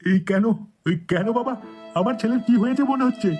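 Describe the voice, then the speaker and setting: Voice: mid-range voice, a little fast, darkish tone, lightly acted. Someone doing an old village dad, worried sick and pleading, cartoon comedy, bit of music under it. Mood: anxious. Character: anxious elderly village father of a hospital patient.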